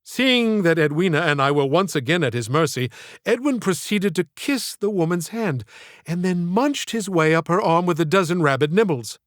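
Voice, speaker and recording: A man reading his own literary audiobook in a theatrical, measured storytelling manner. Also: high voice, expressive, dry recording, clean studio sound. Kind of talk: scripted literary narration.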